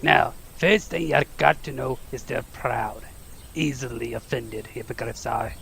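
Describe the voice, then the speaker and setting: A big rustic gamekeeper, heavily gravelled and unhurried. He is folksy, protective and eager in this audio drama, with a bright, forceful edge to his voice. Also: medium pitch, fairly even intonation, a little hiss or hum. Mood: proud, instructing. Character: big hearty gruff gamekeeper teaching class, eager, protective.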